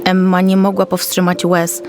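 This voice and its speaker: A woman's voice: fairly low pitch, completely flat delivery, normal speed, over music. A woman narrator reading a scripted children's story.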